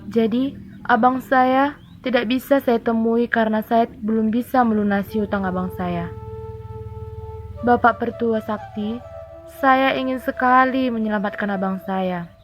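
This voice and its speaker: A woman's voice: a little high, steady tone, a little slow, close to an everyday voice. A woman voicing a devoted, worried village girl in a folktale, earnest and pleading. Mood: sorrowful. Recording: dull lo-fi audio under music.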